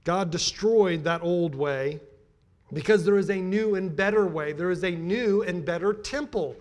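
High-pitched American man preaching. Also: a little slow, a little mellow. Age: middle-aged. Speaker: pastor. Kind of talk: sermon. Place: church pulpit, indoors.

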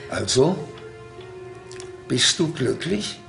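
An actor playing a grave old father in a radio play, speaking slowly and solemnly. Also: medium pitch, sing-song, gravelly, far from the mic, exaggerated voice. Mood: grave, tender. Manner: slow, measured, solemn. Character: grave, solemn old father teaching his son to fly. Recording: roomy sound with music behind.

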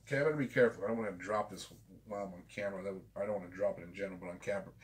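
A man's voice: deep voice, fairly even intonation, rapid-fire. Chatty tipsy man rambling at his desk. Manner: loose and chatty, swears freely, tipsy. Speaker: vinyl collector channel host. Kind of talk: casual rambling vlog. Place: small home room with framed pictures and a guitar.

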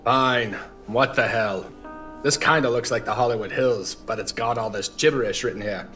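A man's voice: medium pitch, moderate pace, pushed and bright, lightly acted. Casting: male actor as boozy, bitter veteran reporter, old-time noir patter, audio drama, light music bed. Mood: gruff. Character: drunk, disillusioned hard-boiled veteran newspaper reporter. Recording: faint music underneath.

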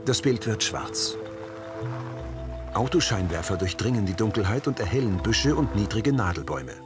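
Male audio describer, deep-ish voice, measured, animated pitch, clear music bed. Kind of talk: scripted scene narration.

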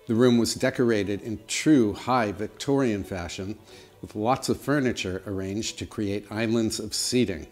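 Chatty middle-aged man guiding a house tour. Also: fairly low pitch. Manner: chatty, friendly tour-guide delivery. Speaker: home vlogger giving a house tour. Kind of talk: casual house tour narration to camera. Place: large old house with high-ceilinged rooms, indoors.

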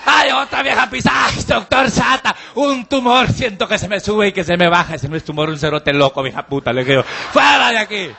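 Man as vulgar Guatemalan devil doctor, high bright rasp, handheld stage mic, faint crowd. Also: animated, brisk, few pauses, slight background noise, exaggerated voice. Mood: rowdy. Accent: Guatemalan Spanish. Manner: shouting, vulgar, mocking. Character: foul-mouthed devil doctor, crude brash man. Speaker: stand-up comedian. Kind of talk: live stand-up monologue. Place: outdoor-style stage at a live charity show.

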